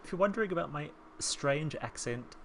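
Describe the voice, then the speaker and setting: A man in his thirties with a soft New Zealand accent, chatting casually as a voice-over while he builds a home in a life-sim game, rambling and self-deprecating. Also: a little high, expressive, brisk, creaky, a little hiss or hum. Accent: soft New Zealand, softened by years in England. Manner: chatty, rambling, self-deprecating, with frequent ums and small laughs. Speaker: Sims speed-build creator.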